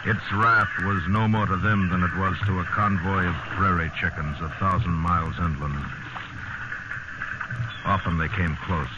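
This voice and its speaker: A man acting as the grave, measured narrator of a sea survival tale in a 1950s radio drama. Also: sits low, steady tone, very noisy, close to an everyday voice. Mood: solemn. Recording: muffled old radio recording, loud music behind.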